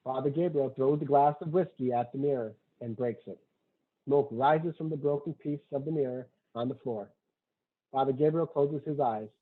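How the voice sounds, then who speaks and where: A man who wrote a screenplay, reading its stage directions and talking as narrator of the table read. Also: mid-range voice. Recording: tinny, phone-like call audio.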